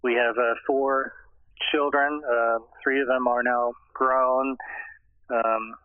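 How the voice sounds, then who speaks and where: A middle-aged man, answering interview questions in a hesitant way with many pauses and restarts. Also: medium pitch, flat delivery, no echo. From Austria. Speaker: guest scholar. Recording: tinny phone line.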